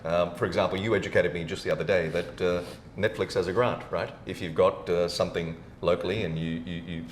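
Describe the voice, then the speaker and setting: Deep-voiced male host in a roomy recording. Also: fairly even intonation, a little fast. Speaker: podcast host, interviewer. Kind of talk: casual, matey interview chat. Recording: roomy echo, background rumble noise.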